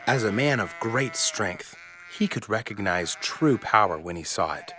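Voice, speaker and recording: A man's voice: lowish voice, animated, a little slow, over music. A man giving scripted storybook narration as the narrator of a family tale.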